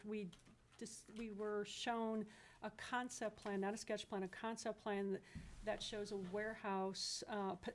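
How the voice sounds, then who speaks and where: A woman with a flat, even delivery presents a staff report in a public meeting. Her pitch is average, and she explains carefully, with halting self-corrections. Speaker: county planning staff presenter.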